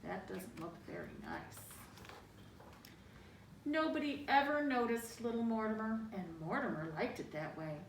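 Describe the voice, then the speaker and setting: A woman reads a picture book to young children with dramatic emphasis. Her fairly high voice moves up and down freely at an unhurried pace. She sounds slightly away from the mic, adding small asides as she goes. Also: lively intonation, creaky. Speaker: storybook reader. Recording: roomy, distant mic, faint noise.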